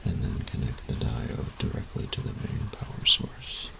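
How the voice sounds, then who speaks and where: A man playing a meticulous, reclusive artificer in an audio roleplay, dictating formally and precisely in a gravelly, breathy voice. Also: normal speed, noisy background, natural voice. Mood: formal, focused. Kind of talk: scripted audio roleplay acting.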